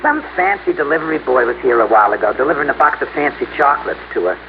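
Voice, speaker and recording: Grumbling, gravelly neighbour with swooping pitch. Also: male voice, very animated, rapid-fire. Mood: gossipy, grumbling. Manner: gruff, colloquial, complaining. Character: grumbling, nosy, slangy male apartment-house neighbour. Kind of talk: 1950s radio drama character acting. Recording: muffled old radio recording, faint hiss.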